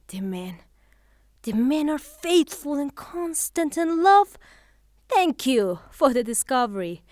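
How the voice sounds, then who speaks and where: An actress playing a proud, passionate young widow in a theatrical audio drama, haughty and dramatic in manner. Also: female voice, sits high, darkish tone, no echo, studio quality. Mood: sarcastic.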